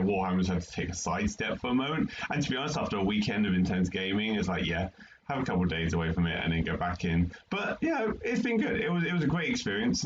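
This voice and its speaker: A man with a British accent chatting about his hobby in a rambling, hesitant way with many fillers. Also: deep voice. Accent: British English. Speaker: podcast co-host. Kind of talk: casual hobby chat. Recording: dull lo-fi mic with faint noise.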